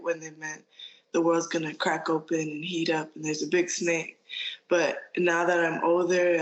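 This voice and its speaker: A man's voice: high-pitched, lo-fi sound. An eighteen-year-old man from Florida, a podcast guest, answering casually and unhurriedly with many "like"s. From Florida, United States. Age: teens.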